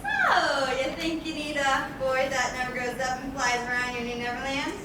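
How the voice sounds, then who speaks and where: A woman acts a spirited young adventurer on stage, playful and teasing. The pitch is high and animated. The sound is far from the mic, with clear noise in the room. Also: normal speed. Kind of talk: live stage play acting. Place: theatre stage. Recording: distant mic, echoey theatre, background noise.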